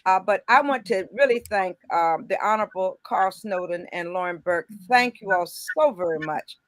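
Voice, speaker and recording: A woman's voice: medium pitch, expressive, moderate pace, no room sound. An older woman co-hosting a talk show, emphatic and heartfelt.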